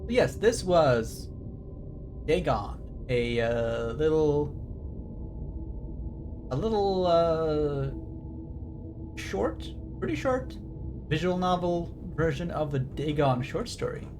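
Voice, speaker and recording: A man's voice: fairly high pitch, expressive, slow, pauses often. A man streaming a game with casual, rambling commentary, wry and casually profane. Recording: stream with game music behind.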